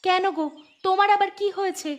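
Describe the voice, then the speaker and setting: A woman's voice: extremely high pitch, fairly even intonation, brisk, soft background music. A woman voicing a sympathetic, inquisitive village neighbour in a cartoon, chatty and full of concerned questions. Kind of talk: cartoon voice acting.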